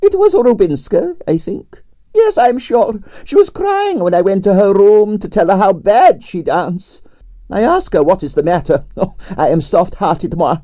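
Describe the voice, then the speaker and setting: A man voicing a flamboyant aging Russian ballerina with a Russian accent and broken English, in a high, swooping, theatrical voice for an audiobook. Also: lively intonation, measured pace, dark tone, no room sound, studio quality. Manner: broken English, grand declarations.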